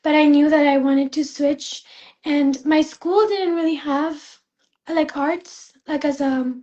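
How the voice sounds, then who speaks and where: High-voiced young woman from Spain. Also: fairly even intonation, takes it slowly, lo-fi sound. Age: young adult. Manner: soft-spoken, many fillers and restarts. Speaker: guest, fashion student. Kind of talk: casual interview chat.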